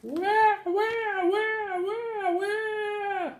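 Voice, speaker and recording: A man voicing a wailing newborn baby girl in a read-aloud picture book story, with shrill high cries. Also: fairly even intonation, drawn-out words, reverberant, slight background noise, full character voice.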